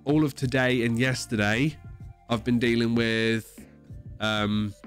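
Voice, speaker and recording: A man's voice: medium pitch, fairly even intonation, slow pace, faint music behind. A man with a cold, stuffy and sore-throated, hosting a casual podcast chat, explaining things emphatically with plenty of swearing. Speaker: podcast host.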